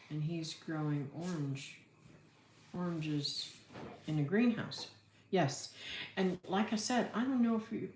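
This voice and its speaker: In a roomy, echoing space, a middle-aged woman with an American accent hosts a casual livestream. Her voice sits low and she talks slowly. She rambles in a folksy way, thinking aloud as she replies to comments. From Florida, United States. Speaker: livestream host, homesteader. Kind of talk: casual livestream chat, reading and answering comments. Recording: roomy echo, faint background noise.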